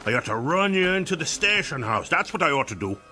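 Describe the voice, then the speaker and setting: An actor playing a bossy, scolding, pompous police officer in a period radio drama. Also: male voice, fairly high pitch, very animated, quick pace, bright, forceful tone, faint music behind, exaggerated voice. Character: blustering, bossy old-time police officer.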